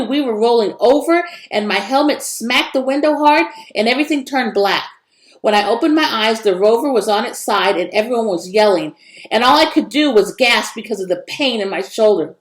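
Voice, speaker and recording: A woman's voice: fairly high pitch, lively intonation, normal speed. A woman narrating a children's story expressively, in a roomy, echoing recording. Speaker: read-aloud narrator.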